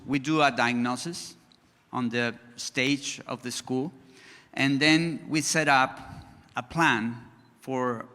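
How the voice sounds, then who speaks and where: A male conference speaker who is not an English speaker, talking slowly in a halting way with many restarts as he presents to an audience and takes questions. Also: mid-range voice, bright, forceful tone. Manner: slow, halting, frequent restarts. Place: large conference hall. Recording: hall reverb.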